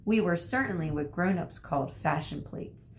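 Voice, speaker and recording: Slightly low-voiced woman teacher reading aloud. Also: expressive. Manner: explanatory, pausing to explain words to children. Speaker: elementary school teacher. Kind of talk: read-aloud lesson for children.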